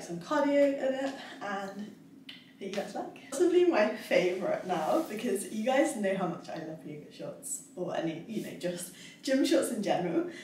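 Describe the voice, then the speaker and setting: A cheerful young woman chats through a clothing haul, laughing often and filling pauses with "um" and "like." Her voice sits at an ordinary middle pitch. It bounces around a bare, very echoey room, picked up from a camera a few steps away. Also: a little mellow, far from the mic, slight background noise. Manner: bubbly, giggly, chatty with many fillers. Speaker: fitness vlogger. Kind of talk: casual try-on haul chat. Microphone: camera across the room.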